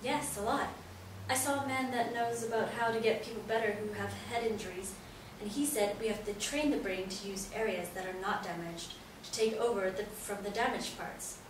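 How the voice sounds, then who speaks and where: A woman's voice: mid-range voice, normal speed, few pauses, lightly acted. A woman in a staged play reading, playing an anxious, hopeful mother who coaxes and reassures her injured son. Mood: soothing, hopeful. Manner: coaxing, reassuring. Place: live stage reading in a theatre room. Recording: very echoey room, distant mic, hissy noise.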